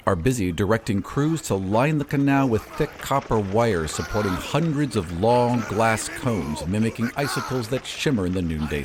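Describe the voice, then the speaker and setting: A man's voice: on the low side, darkish tone, a little hiss or hum. A man reads scripted, dramatic fantasy narration as the storyteller of a game. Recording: music behind the voice. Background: music.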